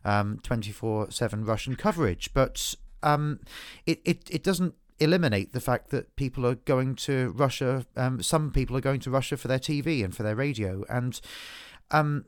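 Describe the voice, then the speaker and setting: A man's voice: mid-range voice, no room sound. Man, podcast host, stumbling restarts, lots of ums, dry close sound. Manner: halting, many restarts and fillers. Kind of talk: political discussion.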